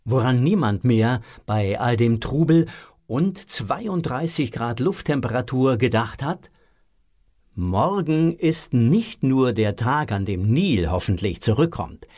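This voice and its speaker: A man narrating a children's audio drama in a measured storytelling manner. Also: medium pitch, very animated, darkish tone, dry recording, clean studio sound.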